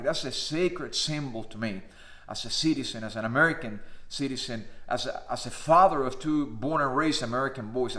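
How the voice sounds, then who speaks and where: A man's voice: medium pitch, a bit bright. A man in his fifties from Cuba with a Cuban Spanish accent, whose first language is Spanish, giving an earnest, emphatic personal testimony to camera with frequent "uh" fillers. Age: 50s. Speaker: pastor. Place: indoors, in front of a flag.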